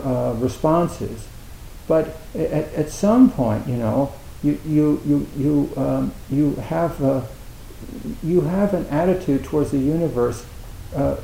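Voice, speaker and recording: A man giving a dharma talk as a Zen teacher, speaking haltingly with many restarts and a wry, self-mocking humor. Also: on the high side, gravelly, warm tone, echoey room, a little hiss or hum, rough recording. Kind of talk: dharma talk at a meditation retreat.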